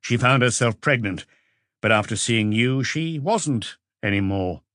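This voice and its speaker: A man's voice: medium pitch, expressive, measured pace, a bit bright, no room sound, clean studio sound. A man voicing a persistent police chief questioning suspects bluntly in audiobook dialogue. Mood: probing, insistent. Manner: blunt, probing.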